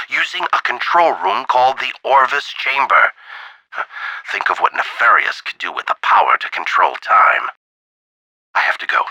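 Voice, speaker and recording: Casting: bold older alien wingman general for a game, wildly swooping gravelly delivery, loyal and commanding, over dry radio comms. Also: mid-range voice, bright, forceful tone, dry recording, heavily acted, low-quality recording. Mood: grave, low. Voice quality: gravelly, raspy. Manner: military, commanding, emphatic. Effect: radio filter. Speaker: wingman pilot giving orders over radio. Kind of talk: video game voice acting, combat radio chatter.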